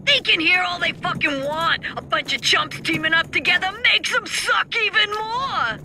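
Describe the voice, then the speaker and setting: A woman's voice: very high voice, moderate pace, sharp tone, a little hiss or hum, heavily acted. A woman playing a gleefully villainous, unhinged teenage girl, taunting and crude, with theatrical delivery in a game cutscene. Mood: crude, mocking. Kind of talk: video game cutscene acting.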